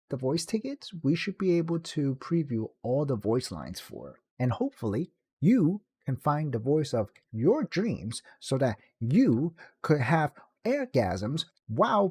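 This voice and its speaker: Mellow man with lively, swinging intonation. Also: on the high side, measured pace, breathy edge. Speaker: video guide host, game streamer. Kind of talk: casual screen-recorded tutorial narration.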